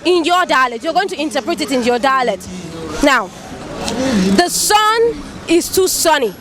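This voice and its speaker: A woman with a high voice hosts a street interview outdoors, upbeat and full of thanks. A crowd chatters clearly behind her. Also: sing-song, moderate pace. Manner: upbeat, repeats thanks often. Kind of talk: street vox-pop interview.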